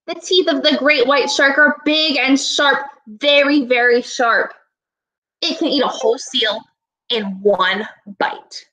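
High, bright-voiced young woman teaching children. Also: rough recording. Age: 20s. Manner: deliberate, instructive, addressing children directly. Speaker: elementary school teacher. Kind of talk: read-aloud lesson for young children. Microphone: laptop webcam at arm's length.

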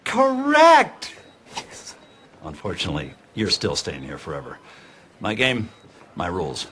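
Sitcom senior doctor: domineering, bitingly sarcastic, very animated intonation, faint noise behind. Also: male voice, a bass voice, normal speed, heavily acted. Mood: mocking. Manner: theatrical, biting sarcasm with mock sweetness. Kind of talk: sitcom acting, mocking monologues.

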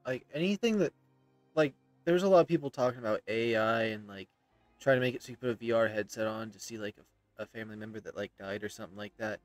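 A man's voice: lowish voice, quick pace, no room sound. A man in his thirties giving casual, opinionated, emphatic commentary as he plays a video game. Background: faint game music.

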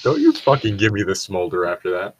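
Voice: deep voice, huge pitch swings, brisk, highs cut off, a little hiss or hum. A person reading fan fiction aloud and joking about it in a sweary, mock-exasperated way. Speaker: reader and commentator.

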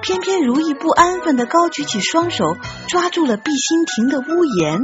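A woman narrating an audiobook story in an expressive, scripted storytelling style. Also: high voice, normal speed, lo-fi sound. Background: background music.

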